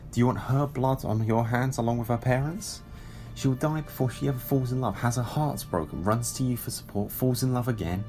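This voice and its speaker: An actor playing an urgent, stern Time Lord ex-soldier in an audio drama, insistent and formal. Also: male voice, medium pitch, mellow, lightly acted.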